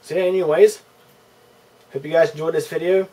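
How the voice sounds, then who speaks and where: A man's voice: fairly high pitch, steady tone, a little fast, dark tone, breathy edge, low-quality recording. A man. He speaks in an informal, conversational way, often stalling and doubling back on his words. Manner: informal, hesitant, repeats words. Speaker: YouTube channel host, caller to customer service. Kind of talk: casual phone call and commentary to viewers.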